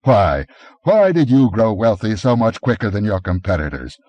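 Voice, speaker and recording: A man reading an audiobook, voicing a brawny barbarian adventurer who speaks in a gruff, commanding way, a little higher and louder than his narration. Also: big pitch swings, normal speed, no room sound, muffled recording. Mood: scornful.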